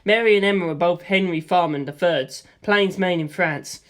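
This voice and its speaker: A woman narrating a first-person story in a steady, matter-of-fact way. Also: on the low side, normal speed.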